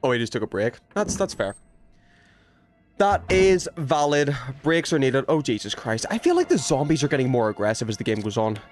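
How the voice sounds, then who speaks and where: A young man chats off the cuff while playing a game on stream. His pitch moves around a lot, and his manner is playful and a bit sweary. Faint music plays underneath. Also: very animated, normal speed, a little mellow. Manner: playful, teasing, swears casually. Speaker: video game livestreamer. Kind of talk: casual chat while playing a horror game, reading and answering chat.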